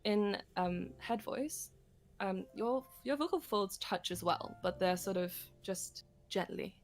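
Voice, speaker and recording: A woman's voice: mid-range voice, lively intonation, slow, faint music behind. A woman explaining vocal technique on a livestream lesson. She talks casually, with many "like" fillers and vivid images. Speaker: singing instructor.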